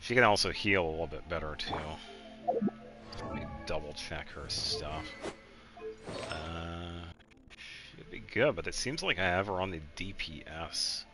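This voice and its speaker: A man giving casual video game commentary as he guides another player, explaining things in a halting, meandering way. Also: sits low, lively intonation, music in the background.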